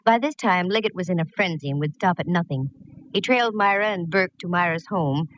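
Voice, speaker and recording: A woman's voice: fairly low pitch, animated, fairly quick, fairly bright tone, a little hiss or hum. An actress playing a plucky, wry female private eye, narrating in the style of a 1940s radio drama. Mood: matter-of-fact, explaining. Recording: dull, dry vintage radio recording.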